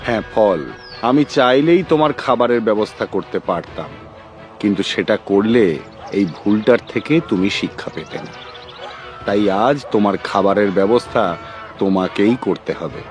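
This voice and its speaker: An actor playing a strict, principled guru in a cartoon, lecturing in a formal, measured and stern manner. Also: male voice, on the low side, natural voice. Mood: reproving. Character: strict, principled old male guru of a forest school. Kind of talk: dubbed cartoon acting. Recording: music behind the voice.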